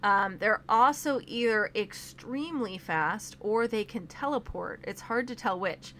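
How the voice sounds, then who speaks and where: A woman's voice: mid-range voice, unhurried, a bit bright. Young woman, casual film review host, chatty and organized, faint background noise. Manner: chatty, organized by topic, with frequent "um" and "like".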